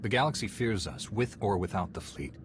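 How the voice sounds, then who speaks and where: A man's voice: lowish voice, brisk, noisy background, close to an everyday voice. A man playing a cold, ruthless military commander in a video game. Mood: cool, assured. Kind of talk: dramatic video game acting.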